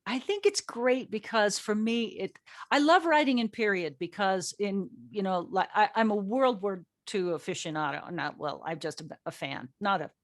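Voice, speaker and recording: A woman's voice: medium pitch, moderate pace, dry recording, clean studio sound. A woman hosting a casual group interview as a show's writer, joking in a playful, self-deprecating way. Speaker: host and writer of an audio drama.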